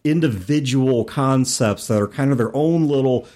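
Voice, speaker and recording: A man's voice: medium pitch, moderate pace, dark tone. A man co-hosting a casual gaming discussion, explaining things with rhetorical questions and asides. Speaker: podcast co-host.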